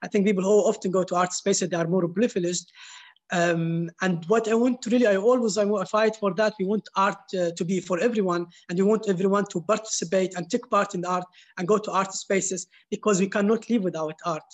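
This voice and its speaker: A man, an artist and former journalist, speaking on a panel in halting English. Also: high voice, steady tone. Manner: searching, halting non-native phrasing. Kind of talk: panel talk.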